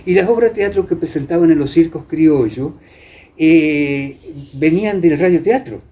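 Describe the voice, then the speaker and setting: Argentine man with a mellow, dark voice. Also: fairly high pitch, normal speed. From Entre Ríos, Argentina. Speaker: interview guest recounting radio theatre history. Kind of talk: conversational storytelling. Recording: muffled, clear background noise.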